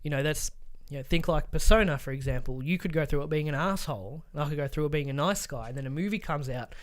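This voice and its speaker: A man's voice: on the high side, quick pace. A man co-hosting a podcast in casual, opinionated chat, emphatic and given to swearing. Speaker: podcast co-host.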